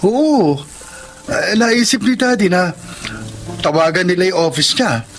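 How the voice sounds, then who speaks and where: A sympathetic young Filipino man in a melodrama, his voice fairly high and earnest. He explains things with concern, with light music underneath. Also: animated, unhurried. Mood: concerned, informative.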